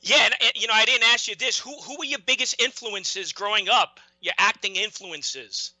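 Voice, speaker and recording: A man's voice: high voice, moderate pace, bright, forceful tone, no echo, low-quality recording. A man with a Brooklyn accent hosting an interview, stammering and restarting his questions. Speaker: interviewer host. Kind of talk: casual interview.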